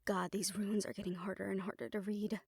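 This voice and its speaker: A woman's voice: mid-range voice, little pitch movement, brisk, creaky, darkish tone, husky, dry recording, clean studio sound. A woman playing a stern, exasperated young siren banshee spellcaster in a roleplay audio drama, talking conversationally and emphatically. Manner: conversational, sighing, chiding, emphatic.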